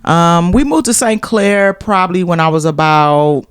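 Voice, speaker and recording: A woman's voice: lowish voice, animated, measured pace, clear, resonant tone, no echo, clean studio sound. A middle-aged American woman from Cleveland, chatting casually as an interview guest and telling stories. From Cleveland, USA. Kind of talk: casual conversational storytelling.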